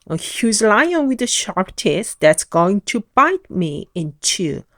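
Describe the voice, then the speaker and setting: A woman's voice: mid-range voice, big pitch swings, takes it slowly, fairly bright tone, no room sound, clean studio sound. A woman voicing a tiny, jittery little man who panics and shrieks. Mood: terrified. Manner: shrieking, stammering, melodramatic.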